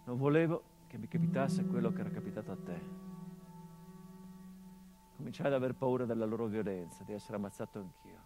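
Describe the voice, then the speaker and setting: A middle-aged actor playing a grieving son in a theatrical monologue, with bitter irony that swings from loud outbursts to hushed low tones. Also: male voice, fairly quick, long pauses, music in the background, close to an everyday voice. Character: grieving son of a murdered man, bitter, haunted. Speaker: stage actor.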